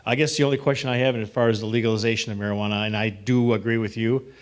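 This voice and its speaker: A man's voice: fairly low pitch, steady tone, racing. Matter-of-fact man in a group meeting. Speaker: campground owner. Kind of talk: casual group discussion. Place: meeting room.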